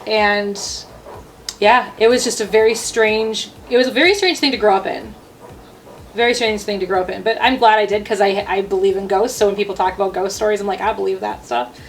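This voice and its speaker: A woman's voice: mid-range voice, fairly even intonation, a little fast, a little hiss or hum, poor audio. A young adult woman hosting a casual storytime chat in a conversational way. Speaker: host, storytime vlogger.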